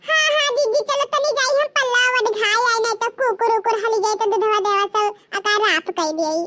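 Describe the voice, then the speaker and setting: A woman voicing giggly, gossiping village women in a comedy cartoon, with an Awadhi accent, a squeaky childlike voice and a rustic, chatty manner. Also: a little fast, sharp tone. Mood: playful. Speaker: cartoon voice performer.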